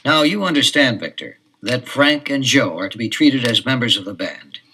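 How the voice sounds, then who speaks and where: An actor playing a cautious, authoritative detective father who speaks in a measured way. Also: medium pitch, expressive, pushed and bright, breathy edge, heavily acted, low-quality recording. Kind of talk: 1970s children's audio drama.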